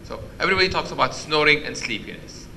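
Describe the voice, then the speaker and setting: A man with a loud voice giving an interactive medical lecture, quizzing the audience and restarting his sentences often. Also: fairly high pitch, animated, fast, slight background noise, rough recording. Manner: questions the audience, many restarts. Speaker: physician lecturer. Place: conference hall.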